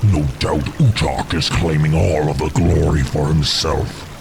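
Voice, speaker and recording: A proud orc warrior in a fantasy game. His voice is extremely low and gravelly, and he grumbles slowly with open contempt, music behind him. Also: steady tone, full character voice. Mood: bitter scorn. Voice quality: gruff, gravelly. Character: proud, scornful orc warrior hungry for glory. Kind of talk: video game voice acting.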